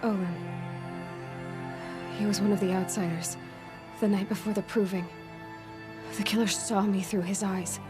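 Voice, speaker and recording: A woman's voice: mid-range voice, fairly even intonation, moderate pace, long pauses, lightly acted. Woman acting as young female outcast, blunt, determined, skeptical, naturalistic game drama, background music. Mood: frustrated, insistent. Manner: direct, skeptical.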